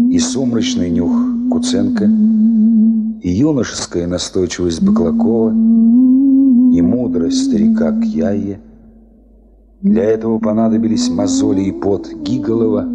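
A man giving solemn, declamatory literary narration in a 1970s Soviet radio drama. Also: medium pitch. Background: orchestral music.

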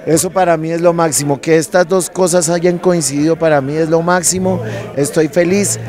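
A middle-aged man, a merengue singer being interviewed on a handheld mic outdoors, animated and joking. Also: on the high side, normal speed. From Colombia. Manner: animated, jokey, laughs between phrases. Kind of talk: casual interview. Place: outdoor patio at an event. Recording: outdoor event with music behind.

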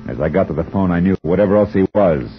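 An actor playing a wry, hard-boiled private detective who narrates tersely in a 1950s radio drama, from a dull lo-fi broadcast. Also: male voice, low-pitched, fast talker, soft background music.

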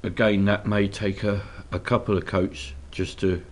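A man's voice: lowish voice, measured pace. A man from Scotland with a slight cold, casually talking through a miniature painting tutorial at his hobby desk, hesitant with many fillers. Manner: relaxed, hesitant, many ums and uhs.

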